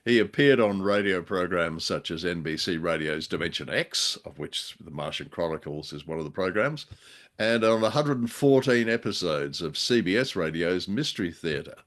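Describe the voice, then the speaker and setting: A man co-hosting a conversational radio drama review, speaking in a measured, slightly hesitant and mildly wry way. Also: low-pitched, lively intonation, fairly bright tone. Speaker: co-host.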